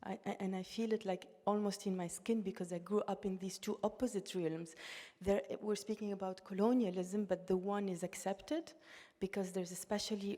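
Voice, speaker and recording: A woman raised in Tunisia, with Russian as her first language, speaks earnestly on a discussion panel, often breaking off and restarting her words. Her voice is mellow and warm. It carries a long reverb from a lecture hall. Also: mid-range voice. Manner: earnest, thoughtful, with frequent restarts.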